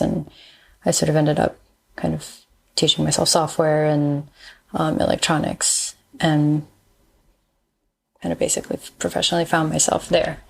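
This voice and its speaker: A woman in her thirties from Malaysia speaks as a podcast guest, her voice sitting a little low with a gravelly, fry-tinged edge. She talks things through thoughtfully, pausing often to find her words. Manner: thoughtful, pauses with frequent ums. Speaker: guest, designer and engineer. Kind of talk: casual interview chat.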